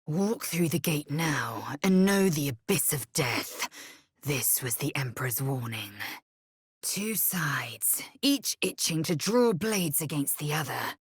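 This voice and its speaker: An actress voicing a fierce, proud alien warrior woman in a video game, husky and clipped, with a haughty formal delivery. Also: sits low, lively intonation, slow, no echo, clean studio sound, heavily acted. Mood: grave. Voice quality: husky, breathy rasp. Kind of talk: video game character acting.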